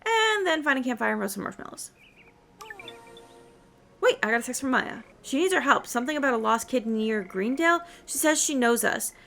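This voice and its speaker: A young, adventurous horse-riding heroine from a fantasy game , read aloud by a woman. The voice is on the high side and sounds like dialogue being read out. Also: animated, brisk, creaky, a bit bright, a little hiss or hum, full character voice. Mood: purposeful.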